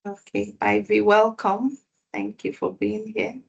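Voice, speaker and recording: A woman speaks slowly and deliberately as she hosts an online exam prep session. Her voice sits at a middle pitch, and her manner is welcoming and measured over a video call. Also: steady tone, gravelly, breathy edge, dull audio.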